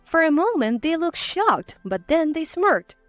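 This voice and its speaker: High, animated woman narrating drama. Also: measured pace, dry recording, faint music behind. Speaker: story narrator. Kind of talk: scripted first-person dramatic narration.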